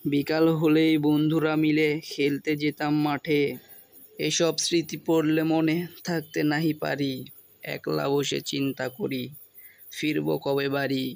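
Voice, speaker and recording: A man playing a helpful village letter carrier in a cartoon, with a measured, sentimental delivery. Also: on the high side, completely flat delivery, creaky, no room sound. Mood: mournful. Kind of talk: cartoon dub, verse recitation.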